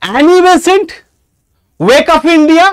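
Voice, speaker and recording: A Bengali man lectures an online exam class in a strikingly high-pitched voice. He addresses students informally and dictates key points, repeating them for emphasis. The sound is dry, with hardly any room in it. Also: sing-song, normal speed, dry recording. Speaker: exam-prep history teacher.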